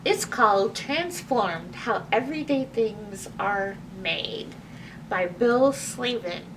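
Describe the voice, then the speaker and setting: A woman presenting a storytime for young children, reading aloud and chatting to them in an animated, exclamatory way. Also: medium pitch, slow. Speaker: library storytime host. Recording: distant microphone with faint background noise.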